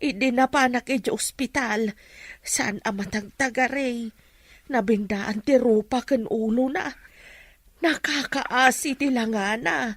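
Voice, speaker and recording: A woman's voice: fairly high pitch, slow, dry recording, clean studio sound. A Filipino-accented woman playing a concerned, sympathetic mother of a grown son in a radio drama, with a fretful manner. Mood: sorrowful.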